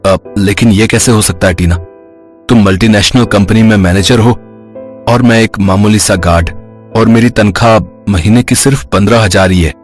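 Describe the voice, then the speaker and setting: A man's voice: fairly low pitch, normal speed, soft background music, lightly acted. A man voicing a humble young guard who speaks earnestly and hesitantly. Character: humble young security guard, worried and modest.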